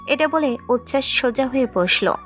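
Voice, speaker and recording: A woman's voice: sits high, lively intonation, moderate pace, fairly bright tone. A woman reading a scripted romantic story as its narrator. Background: background music.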